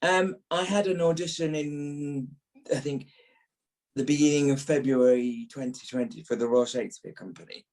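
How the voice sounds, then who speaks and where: A man's voice: medium pitch, normal speed, a little mellow. A middle-aged or older British man, an actor being interviewed, talking reflectively and repeating phrases as he thinks.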